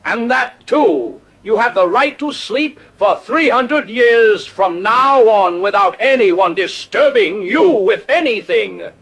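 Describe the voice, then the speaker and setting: Man as a raspy, animated demon emperor. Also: sits high, slow, hardly any pauses, full character voice. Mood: generous. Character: amused, indulgent demon emperor of hell.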